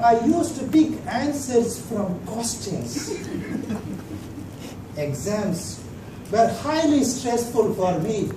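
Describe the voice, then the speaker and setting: A man tells a humorous prepared speech at an unhurried pace, pausing for laughs. His voice carries from far off in a roomy hall, with clear background noise underneath. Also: high-pitched. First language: Tamil. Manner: dry storytelling, pauses for laughs. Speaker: club speaker. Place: meeting hall.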